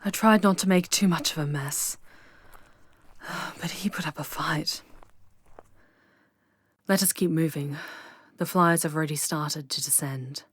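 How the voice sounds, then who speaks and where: Brief: female actor as a deep, unhurried demon guide, haughty and sardonic yet protective, for ASMR roleplay over noisy ambience. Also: fairly even intonation. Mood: wry, amused. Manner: commanding, haughty, deliberate, slipping into whispers. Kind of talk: ASMR audio roleplay drama.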